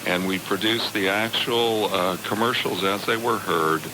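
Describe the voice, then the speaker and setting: Very deep-voiced male radio guest, halting delivery. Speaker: radio theatre director, studio guest. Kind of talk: live radio interview. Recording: very noisy live radio broadcast.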